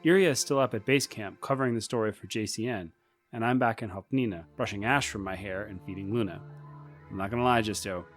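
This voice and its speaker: A man's voice: on the low side, fast, soft background music, natural voice. A man playing a worried, candid colleague sending a slightly awkward radio message in an audio drama.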